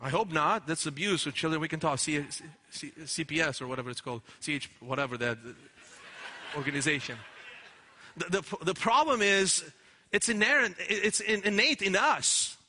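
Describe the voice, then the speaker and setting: A man's voice: on the high side, moderate pace, pushed and bright. A man preaching a sermon in an earnest, emphatic way, often restarting his words. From Romania. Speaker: pastor.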